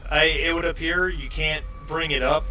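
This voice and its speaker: A man running a tabletop game as game master, narrating off the cuff in a halting way, often repeating and restarting his phrases. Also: fairly high pitch, steady tone, sharp tone, faint music behind. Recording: noisy, distant microphone.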